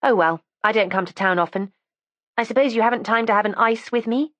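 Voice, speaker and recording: Woman putting on a chatty prim schoolgirl voice, pitched up and bouncy, dry close sound. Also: fairly quick, a bit bright, no room sound, close to an everyday voice. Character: precocious, matter-of-fact teenage schoolgirl.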